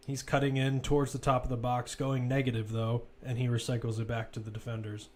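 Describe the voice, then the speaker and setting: Male, football commentator, live play-by-play, mid-pitched voice, clipped running phrases, present-tense description. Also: monotone, fairly quick, darkish tone.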